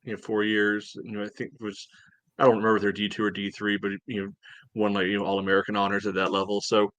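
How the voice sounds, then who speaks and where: A man gives casual sports analysis in a fairly low voice. His intonation stays flat while his phrasing is loose and conversational. The sound is close and dry. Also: quick pace, no room sound. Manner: conversational, peppered with "you know". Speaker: soccer podcast co-host.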